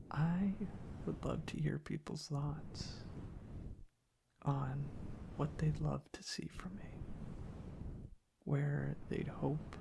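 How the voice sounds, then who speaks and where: A man speaking in a soft, hushed, half-whispered ASMR ramble, breathy and gravelly, in unhurried halting phrases. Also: a little high. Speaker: ASMR content creator. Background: tapping and clicking sounds.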